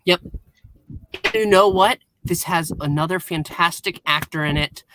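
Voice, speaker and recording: A man's voice: fairly high pitch, unhurried, background noise. A man chatting casually and animatedly as a panellist on a review show.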